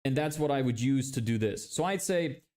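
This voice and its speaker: Lithuanian guy in his 20s live streaming, chill and casual, lots of ums and restarts, mid-pitched voice. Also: normal speed. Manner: relaxed, reads viewer questions aloud, frequent fillers and restarts. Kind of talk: casual live-stream chat, explaining sales tactics.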